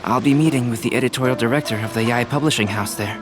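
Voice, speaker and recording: Male actor as polite young artist guy in a game, calm n measured, mid voice, music behind. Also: medium pitch, close to an everyday voice. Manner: measured, composed, courteous, precise. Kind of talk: video game character acting. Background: background music.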